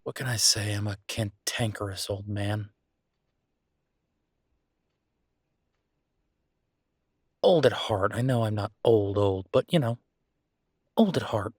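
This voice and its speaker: A man playing an affectionate, playfully grumbling young werewolf chef in an intimate, casual boyfriend roleplay audio, chatty and halting. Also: lowish voice, darkish tone, husky, no room sound, clean studio sound. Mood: grumbling, weary.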